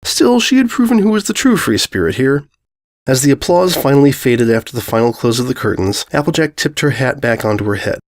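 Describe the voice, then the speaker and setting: Male storyteller, mid-pitched voice, for scripted fiction narration. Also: animated, fairly quick.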